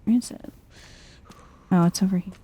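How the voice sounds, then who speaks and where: A soft-spoken woman giving chill, casual game commentary in an ASMR vibe, murmuring as if to herself. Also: lowish voice, fairly even intonation, moderate pace, husky. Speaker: gameplay streamer.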